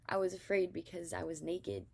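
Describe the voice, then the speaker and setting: A fearful man from a scripture story, acted out by a woman reader, defensive and emphatic as he makes excuses. The voice has a creaky grain. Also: fairly low pitch, steady tone, moderate pace, a little mellow, heavily acted. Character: Adam, fearful man shifting the blame.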